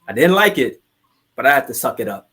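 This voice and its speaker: A man chats as a podcast guest, his voice at an average pitch. He tells his stories in a candid, informal way, with casual swearing, like a veteran among friends. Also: very animated, fairly quick, sharp tone, slightly rough. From Trinidad. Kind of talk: casual conversational storytelling.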